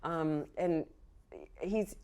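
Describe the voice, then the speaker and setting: A woman's voice: low voice, warm tone, clean tone. A woman as a baffled, slangy farm guard. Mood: casual, evasive. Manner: halting, slangy, repeats words. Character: confused, chatty farm guard, casual and skeptical. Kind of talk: improvised tabletop roleplay.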